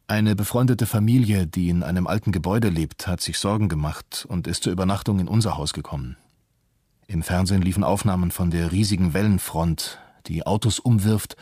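A man voicing a worried family father who recounts his memories in a sober, measured way, as scripted radio documentary narration. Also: deep voice, a little mellow, slightly rough, no echo, studio quality. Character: worried family father in Tokyo recalling the disaster.

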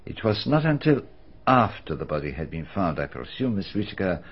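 Courteous, probing detective. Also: male voice, deep voice, sing-song, a little fast, breathy edge, heavily acted. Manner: courteous, formal, probing questions. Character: polite, probing man detective of foreign manner. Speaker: detective questioning a witness. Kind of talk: BBC radio drama acting. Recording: dull, muffled archive radio broadcast.

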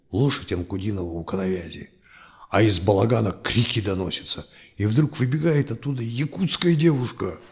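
An actor playing a calm storyteller in an audio drama, recounting a historical tale conversationally. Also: male voice, fairly low pitch, natural voice.

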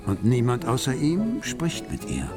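A wry storyteller in a literary radio drama. His medium-pitched voice delivers the prose in measured, articulate, declamatory fashion. Music runs clearly underneath. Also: huge pitch swings. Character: wry male narrator of a bohemian Berlin tale. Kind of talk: literary radio play narration. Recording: music behind the voice.

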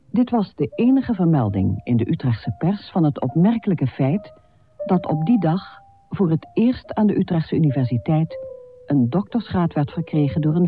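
A man narrating a radio play from a script in a measured, formal manner. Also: a little high.

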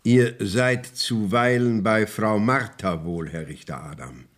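An actor playing a courteous, probing court inspector in a radio play, speaking measured, formal verse with a husky voice. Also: male voice, fairly low pitch. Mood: suspicious, questioning. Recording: 1970s radio drama studio recording.

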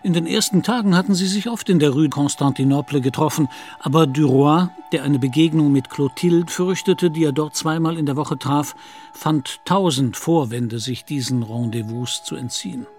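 A man's voice: a little high, normal speed, a little mellow, soft background music, close to an everyday voice. A man narrating a literary audio drama in German as a scripted storyteller.

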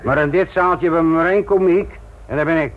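An actor playing a blunt, sardonic, middle-aged police inspector lecturing trainees in a Dutch radio play. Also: male voice, a little high, fast talker, crowd noise, close to an everyday voice. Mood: wry. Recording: tinny, noisy old radio play recording.

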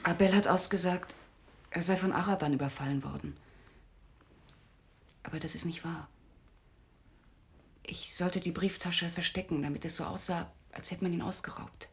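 Actress as a quiet, guarded young woman. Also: lowish voice, steady tone, normal speed, long pauses, close to an everyday voice. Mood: subdued, confiding. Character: subdued, guarded young woman, recently beaten.

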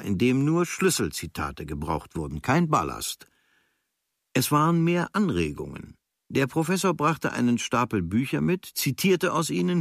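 A man reading a literary travel diary in a measured, observational way, with clipped phrases. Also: mid-range voice, no room sound, clean studio sound. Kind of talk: scripted literary diary narration.

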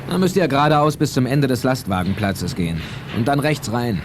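An actor playing a gruff, bossy adult crook in a 1980s German radio play, curt and threatening. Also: male voice, mid-range voice, brisk, background noise, natural voice. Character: gruff adult male crook, scrap dealer.

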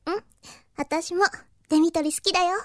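A woman acting an innocent, kind-hearted young catgirl in an anime-style audio drama, soft and naive. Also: extremely high pitch, steady tone, moderate pace. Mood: shy, affectionate.

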